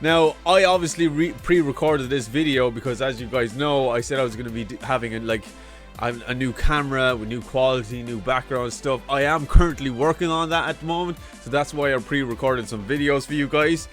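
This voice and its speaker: A man presents a reaction video in a casual, excitable manner. His voice sits at an average pitch, and faint music plays behind him. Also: brisk. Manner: hyped, repeats lines he enjoys, says dude a lot. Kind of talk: casual chatty reaction commentary.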